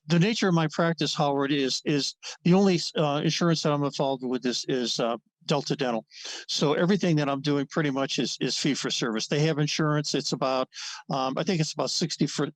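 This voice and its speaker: A man's voice: fairly high pitch, dry recording. A man in his seventies, a dentist guest, talking in a casual, rambling way with many fillers and restarts. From Ohio. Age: 70s. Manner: chuckles often. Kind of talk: casual interview conversation.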